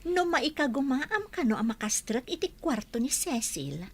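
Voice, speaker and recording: A woman's voice: high-pitched, very animated, moderate pace, exaggerated voice. A woman with an Ilocano accent acting a scheming, meddling character in a comedy radio drama.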